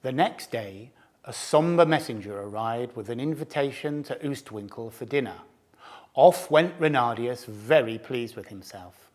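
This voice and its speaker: Sly bragging fox read by a guy, slow and savoring, voice goes up and down a lot. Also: medium pitch, lively intonation, natural voice. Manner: relishing, theatrical, savouring food words. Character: sly boastful old male fox storyteller. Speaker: storyteller to cubs. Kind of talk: storybook narration.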